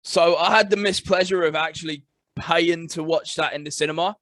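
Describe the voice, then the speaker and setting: A man's voice: a little high, fairly even intonation, normal speed, fairly bright tone, no room sound. A man co-hosting a podcast in casual chat, stating blunt, emphatic opinions. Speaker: podcast co-host.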